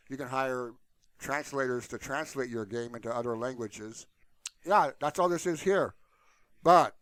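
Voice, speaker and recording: A man talks through a screen share in a casual, unscripted style. His delivery is blunt and colloquial, and he rambles as he goes. His mid-pitched voice is recorded close and dry. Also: fairly bright tone, no echo. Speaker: tutorial channel host.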